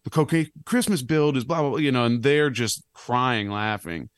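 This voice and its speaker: A man in his thirties with a low, slightly raspy voice, chatting casually on a podcast as a guest, rambling and self-deprecating. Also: normal speed, no room sound, studio quality. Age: 30s. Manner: rambling, self-deprecating, animated when joking. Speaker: clown and musician guest.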